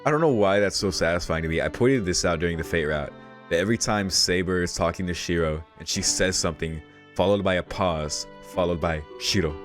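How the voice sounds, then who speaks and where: A man's voice: deep voice, brisk. A man reading a visual novel aloud as a let's play host, with casual reactions and game music behind him. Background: visual novel soundtrack.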